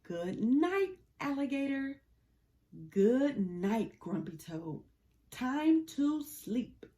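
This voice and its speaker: A woman voicing a friendly, laid-back male cat in a children's storybook, with an easygoing, expressive delivery. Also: high voice, very slow, full character voice. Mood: sleepy, friendly.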